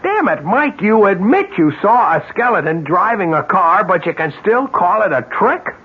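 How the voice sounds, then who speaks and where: An actor playing a discouraged, exasperated innkeeper husband in an old radio drama, with a questioning manner. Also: male voice, sits high, big pitch swings, moderate pace, slightly rough, full character voice. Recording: muffled, faintly hissy old radio recording.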